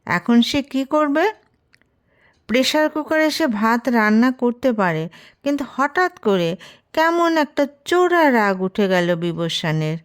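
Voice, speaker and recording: A woman reading a short story as scripted narration, expressive and gently wry. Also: on the high side, measured pace, clear, resonant tone.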